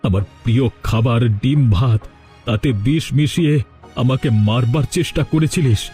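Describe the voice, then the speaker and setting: A man's voice: medium pitch, normal speed, mellow, faint music behind, natural voice. A man voicing a resentful, threatening young village man in a cartoon melodrama, with dramatic delivery. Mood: cold anger. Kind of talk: cartoon voice acting.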